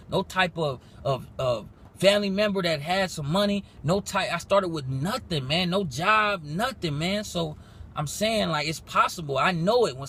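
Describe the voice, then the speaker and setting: A man in his twenties, a music producer giving a casual, profane motivational pitch, possibly recorded in a car. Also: husky. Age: 20s. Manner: animated, profane, rapid slangy talk.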